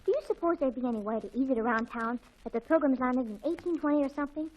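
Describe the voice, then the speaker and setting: An actress playing an excitable, gushing, overdramatic teenage girl in 1940s radio comedy style. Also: sits high, quick pace. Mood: urgent, bossy. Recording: dull old 1940s radio recording.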